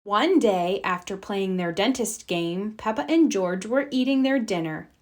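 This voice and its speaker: Slow, expressive woman storyteller. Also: medium pitch. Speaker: children's storybook reader. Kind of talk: read-aloud scripted narration.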